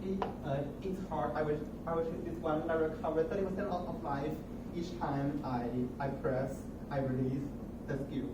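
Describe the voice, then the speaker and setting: A young man giving an impromptu project demonstration, haltingly, often repeating and restarting his words. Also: fairly high pitch, fairly even intonation. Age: young adult. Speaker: student presenter. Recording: roomy, distant mic with noticeable noise.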